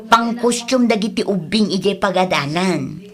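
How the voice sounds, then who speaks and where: A breezy village shopkeeper, played by a woman in a rural comedy drama. She chats easily in an Ilocano accent, with a medium-pitched voice for a woman. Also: animated, normal speed, a bit bright, close to an everyday voice. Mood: chatty, respectful. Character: easygoing, chatty village woman renting out costumes.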